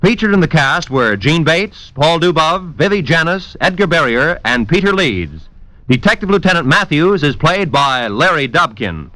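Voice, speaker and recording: A man's voice: on the high side, sing-song, moderate pace, pushed and bright, no echo, rough recording. A man announcing in polished, formal 1940s radio style, reading a script.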